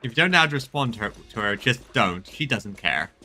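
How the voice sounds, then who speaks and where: A man giving casual let's-play commentary, halting as he thinks aloud and reads game text. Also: mid-range voice, animated, sharp tone. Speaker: game streamer. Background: game music.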